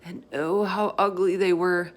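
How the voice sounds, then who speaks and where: A woman narrating a children's book aloud in an expressive storytelling style. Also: lowish voice, measured pace.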